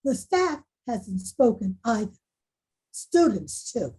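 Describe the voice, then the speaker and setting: A woman playing a frustrated, bewildered school principal with halting delivery in a screenplay read-through. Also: on the high side, big pitch swings, dark tone, exaggerated voice. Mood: tentative, guarded. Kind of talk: screenplay table read acting. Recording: husky-sounding remote video call.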